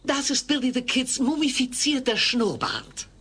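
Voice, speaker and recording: An actress playing a sly, grandiose sideshow huckster with a theatrical, wheedling manner in a sitcom audio play. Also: female voice, high-pitched, a little slow, fairly bright tone, breathy edge, heavily acted. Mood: grandiose, welcoming.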